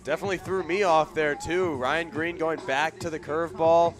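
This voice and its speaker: A man's voice: on the high side, steady tone, normal speed. Male baseball color commentator over music. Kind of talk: analytical baseball radio commentary. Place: outdoor ballpark broadcast.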